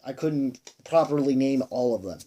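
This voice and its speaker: A man's voice: mid-range voice, animated, warm tone. Rambling man on a noisy recording. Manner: rambling, halting, repeats phrases for emphasis; sweary, emphatic. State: tired, sighing. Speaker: movie reviewer. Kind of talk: casual unscripted movie review.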